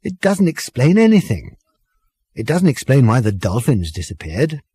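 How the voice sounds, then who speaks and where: A man's voice: mid-range voice, wildly swooping pitch, normal speed, dark tone, slightly rough, dry recording, studio quality, voice pushed up, exaggerated voice. A man voicing an earnest, troubled young woman who explains things with urgent emphasis. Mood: insistent.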